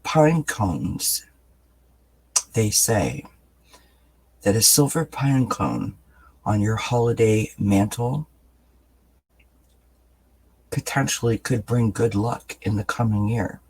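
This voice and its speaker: A man with a raspy, just-woken-up voice, giving a gentle daily tarot reading in a soft-spoken, relaxed way with lots of fillers. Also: on the low side, slow pace, long pauses, rough recording. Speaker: tarot reader. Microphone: camera mic, above-view camera.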